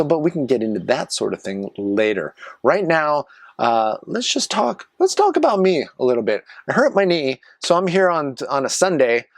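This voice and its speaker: A man's voice: medium pitch, no room sound, clean studio sound. Chatty rambling man in his forties. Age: 40s. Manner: chatty, self-mocking, wandering asides. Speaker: vlogger and live streamer. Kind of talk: rambling casual monologue to camera. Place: small garage office.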